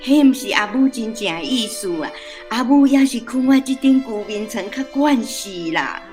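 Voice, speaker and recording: A woman's voice: a little high, expressive, normal speed, darkish tone, soft background music, lightly acted. A woman playing a stubborn, playful old grandmother in a radio drama, homely and plain-spoken, with a Taiwanese accent. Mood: stubborn refusal.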